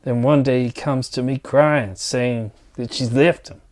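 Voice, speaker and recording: A man's voice: medium pitch, steady tone, measured pace, a little mellow, natural voice. A man playing a blunt, resentful, set-in-his-ways engineer in an exasperated dramatized dialogue. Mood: bitter, exasperated.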